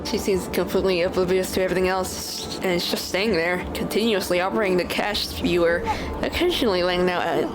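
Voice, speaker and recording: A woman's voice: fairly low pitch, a little fast, rough recording. A woman giving casual commentary as she streams a video game, sometimes reading text aloud. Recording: game music behind the voice. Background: video game music.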